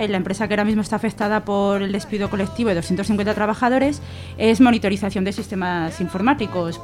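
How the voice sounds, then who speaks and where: A female radio guest with an accent from Spain and a medium-pitched voice. She explains things in a conversational way, as a worker being interviewed, over faint music.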